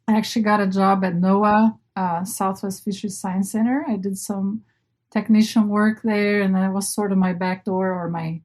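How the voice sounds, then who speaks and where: Brazilian woman, native portuguese speaker, middle aged, casual podcast guest, medium pitch, rewords herself a lot. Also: level tone, normal speed, a little mellow. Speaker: interview guest, marine biologist. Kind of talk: casual personal storytelling.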